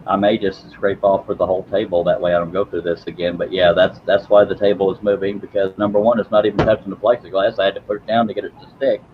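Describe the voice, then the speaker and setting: A man with a lowish voice hosts a casual workshop live stream, rambling along with self-deprecating asides and stumbling over his words. The recording sounds slightly dull, with a faint hum of noise behind him. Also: level tone, quick pace, hardly any pauses. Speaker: host of a woodworking live stream. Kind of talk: casual live-stream chat while working.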